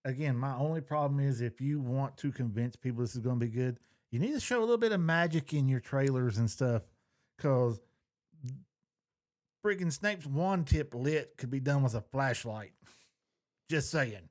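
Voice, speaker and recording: A man's voice: medium pitch, expressive, normal speed, a little mellow. A middle-aged man chatting casually as a podcast co-host, folksy, opinionated and free with swearing. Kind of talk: casual chat.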